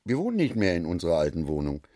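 A man's voice: low voice, wildly swooping pitch, fast, mellow, dry recording, studio quality. An actor playing a garrulous, self-satisfied middle-aged businessman in a radio drama, chatty and given to philosophizing. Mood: agitated, confiding.

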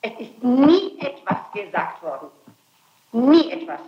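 An echoey old interrogation recording of a woman with a rather high voice. She answers questions off the cuff, insisting and repeating her denials emphatically. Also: sing-song, measured pace, lo-fi sound. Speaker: suspect being questioned. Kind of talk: spontaneous answers under interrogation. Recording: echoey archival interrogation tape.

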